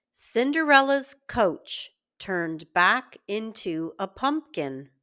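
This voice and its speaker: A woman narrates a storybook for young children. She takes her time over every phrase, going very slowly and deliberately. Her pitch moves in a lively, expressive way around a middling register. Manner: slow, deliberate, expressive delivery. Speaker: children's story reader and teacher. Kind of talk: scripted read-aloud storytelling for young children.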